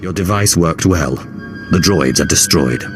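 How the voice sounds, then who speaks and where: An actor playing a cold, imperious, menacing dark sorcerer lord in a video game cutscene. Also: male voice, deep voice, unhurried, over loud music. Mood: cold, disdainful. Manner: curt, menacing, commanding.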